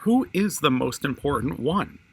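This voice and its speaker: A man's voice: fairly high pitch, big pitch swings, normal speed, vocal fry, fairly bright tone, husky, voice pushed up, heavily acted. A man voicing an earnest, kind young boy in a storybook, asking in a wondering way. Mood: curious.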